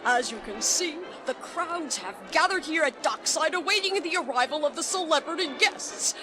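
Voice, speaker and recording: A woman's voice: high voice, animated, moderate pace. A woman playing a gushing, affected society reporter in a comic radio drama. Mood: gushing, excited.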